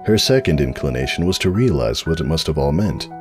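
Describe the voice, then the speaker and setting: A man with a deep voice performs dramatic narration for a comic story. He is animated and mock-grandiose, dropping into deadpan asides, with faint music underneath. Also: brisk.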